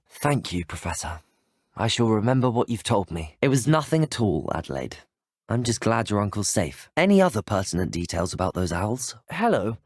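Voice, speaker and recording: A man's voice: medium pitch, dry recording, studio quality. A young man playing a polite, inquisitive teenage student wizard in a video game, speaking in formal, well-mannered phrases. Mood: courteous. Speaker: video game player character. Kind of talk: scripted video game voice lines.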